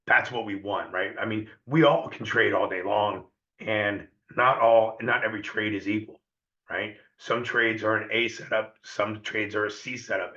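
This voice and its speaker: A hoarse man with a lowish, bright and edgy voice talks viewers through a trading lesson, repeating himself and asking them to confirm they can follow. The sound is roomy and dull, like a lo-fi online session. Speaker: trading educator.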